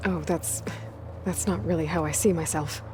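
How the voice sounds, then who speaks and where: A woman's voice: low-pitched, steady tone, normal speed, background noise, lightly acted. A woman playing a young, determined outcast hunter in a video game, speaking plainly with terse, dry humor. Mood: reserved. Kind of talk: naturalistic video game acting.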